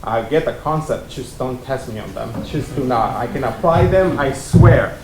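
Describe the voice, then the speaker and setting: A man's voice: animated, moderate pace. A man in his thirties from Mexico, speaking as a keynote speaker to students in a conversational way, with frequent ums and uhs. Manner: conversational, many ums and uhs, gestures with hands. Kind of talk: personal story talk to students. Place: college lecture room, seated at a panel table. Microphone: desk mic on stand. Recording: roomy hall sound with faint rumble.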